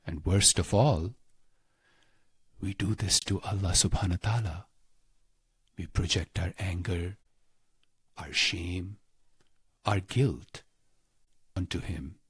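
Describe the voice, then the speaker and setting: Deep, husky male meditation guide. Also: no room sound, studio quality. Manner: slow, deliberate, pausing between short phrases. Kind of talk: scripted guided meditation narration.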